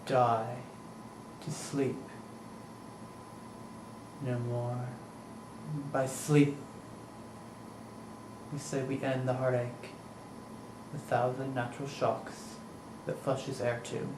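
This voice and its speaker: A man acting a grieving, bitter young prince in a theatrical Shakespearean monologue, with halting, emphatic delivery and dramatic pauses. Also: mid-range voice, fairly even intonation, background noise, lightly acted. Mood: quiet brooding. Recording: echoey room, distant microphone.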